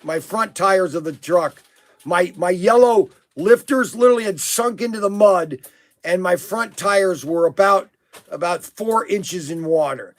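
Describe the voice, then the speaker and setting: A man hosting a casual live podcast, hoarse from losing his voice, rambling through a story with many fillers and restarts. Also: sits high, unhurried. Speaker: host of a toy business live podcast.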